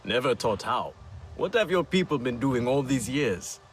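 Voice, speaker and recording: A man's voice: mid-range voice, very animated, normal speed, slight background noise, natural voice. A man playing a boastful, daring alien hunter in a video game, speaking in a grand, teasing and scornful manner. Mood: scornful, challenging. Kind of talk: video game character acting.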